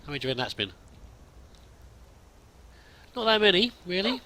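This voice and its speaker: A man's voice: sits high, very animated, fairly quick, sharp tone. A man hosting a roleplay let's play, chatting and musing aloud and reading in-game text as he plays.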